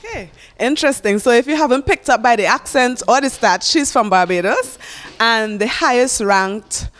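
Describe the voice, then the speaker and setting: A woman's voice: on the high side, lively intonation, moderate pace, fairly bright tone. A woman hosting a television talk show, upbeat and bubbly as she introduces guests. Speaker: host.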